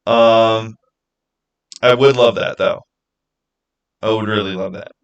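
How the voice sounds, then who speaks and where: A man hosting a livestream, answering viewers in casual, halting speech and thinking out loud. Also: lowish voice, fairly even intonation, smooth, highs cut off. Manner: halting, thinking aloud with repeated phrases. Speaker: livestream host.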